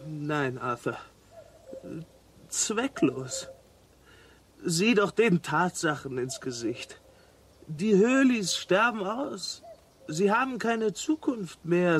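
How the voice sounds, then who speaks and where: An actor playing a blunt, cynical space traveler, exasperated and fatalistic, in a radio play. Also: male voice, fairly high pitch, a little hiss or hum, heavily acted. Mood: weary, resigned.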